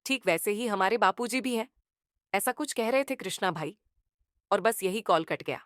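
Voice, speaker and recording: A woman's voice: mid-range voice, lively intonation, moderate pace, no echo, studio quality. A woman reading a scripted narration as a voice-over.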